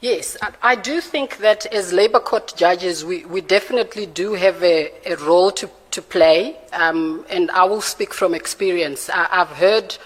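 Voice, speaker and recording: A Zimbabwean woman in her forties answering formal interview questions in a measured, careful and hesitant way, with a Zimbabwean accent. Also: on the low side. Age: 40s. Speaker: candidate for judge being interviewed. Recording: reverberant hall sound.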